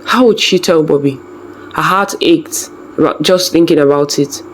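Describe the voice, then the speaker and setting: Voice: medium pitch, measured pace, poor audio. Folktale narrator with noise behind the voice. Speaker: storyteller narrator.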